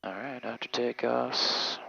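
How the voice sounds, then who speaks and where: A man's voice: medium pitch, takes it slowly, creaky. A man working as a pilot, talking through checklists and radio calls in clipped phrases over an aviation headset. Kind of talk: flight vlog cockpit talk and radio calls. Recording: muffled aviation headset, steady cabin noise.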